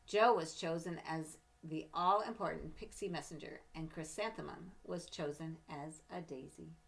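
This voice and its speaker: A woman reading a children's picture book aloud expressively, with deliberate, emphatic phrasing. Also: deep voice, fairly even intonation, creaky, poor audio. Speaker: storybook reader.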